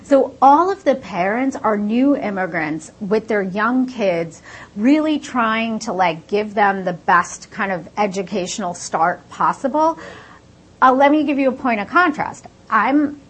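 A woman's voice: mid-range voice, animated, a little slow, husky. A woman, born in India and raised in the United States, explaining her research fluently in a casual interview with many "like" fillers.

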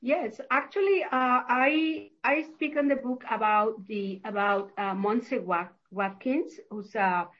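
A woman's voice: a little high, slow pace, few pauses, dull audio. A woman from Mexico with a Mexican Spanish accent, a professor and author answering interview questions hesitantly, with many fillers and restarts.

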